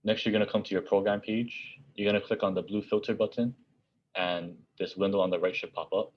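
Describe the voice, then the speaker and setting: A man's voice: fairly low pitch, steady tone, brisk, slight background noise. A man presenting for a college outreach office, narrating a scripted tutorial with plain step-by-step instructions. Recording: dull, muffled lo-fi audio.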